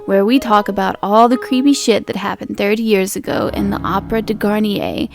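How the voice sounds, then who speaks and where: A woman's voice: mid-range voice, gravelly, a little mellow. A woman playing a slangy, dramatic cryptid podcast host, narrating a scripted recap. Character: chatty storyteller cryptid podcast host named Gaston Leroux, boyish, theatrical.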